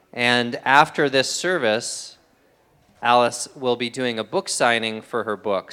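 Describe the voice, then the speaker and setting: A man's voice: medium pitch, fairly bright tone, clean tone. A man giving friendly church announcements with light jokes to the congregation. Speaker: announcements host at a spiritual center.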